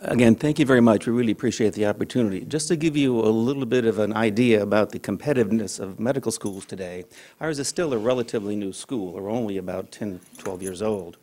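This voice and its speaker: A man's voice: fairly low pitch, fast, a little mellow. A man speaking for a medical school at a public board meeting, giving brief remarks. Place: meeting room.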